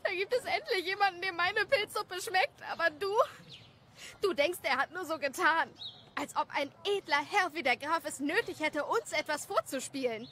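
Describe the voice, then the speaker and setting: A touchy village wife, acted by a woman in an audio drama. She is snippy and reproachful, in a high, piercing voice over slight background noise. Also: animated, normal speed, sharp tone, heavily acted. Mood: offended, reproachful. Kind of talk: animated audio drama acting.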